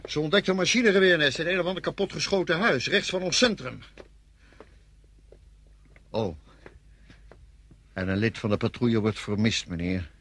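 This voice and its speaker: Husky soldier reporting formally. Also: male voice, fairly high pitch, expressive, moderate pace, long pauses, a bit bright. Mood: dutiful reporting. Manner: formal, hesitant, deferential. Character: male army subordinate reporting to his superior. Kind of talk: radio drama acting.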